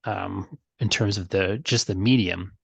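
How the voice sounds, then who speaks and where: Man, podcast host, lowish pitch, casual, halting roundabout phrasing, dry close sound. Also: steady tone, gravelly, slightly rough, no room sound. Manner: halting, circles around his questions. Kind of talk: casual conversational interviewing.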